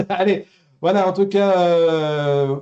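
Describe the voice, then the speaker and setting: Man's higher-pitched, mellow co-host banter. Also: slow pace, dull audio. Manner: jokey teasing, wordplay. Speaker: talk show co-host. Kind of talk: casual live-show banter.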